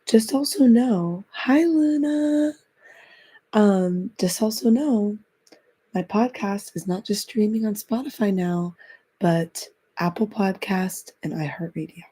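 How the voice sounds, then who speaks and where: Raspy-voiced woman hosting a podcast. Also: medium pitch, slow pace, warm tone. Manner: chatty, encouraging, repeats phrases. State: raspy, recovering from illness. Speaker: podcast host. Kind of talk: casual self-help podcast monologue.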